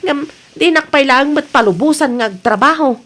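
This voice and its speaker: A woman playing an aggrieved, complaining Ilocano wife and mother in a radio comedy drama, with an Ilocano Filipino accent and an exasperated, expressive manner. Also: high voice, moderate pace.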